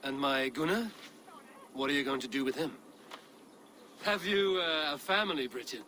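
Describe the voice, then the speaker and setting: An actor playing a steady, diplomatic Viking warrior chieftain in a video game, measured and dryly wry. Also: male voice, medium pitch, slight background noise. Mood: friendly, probing. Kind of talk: dramatic video game acting.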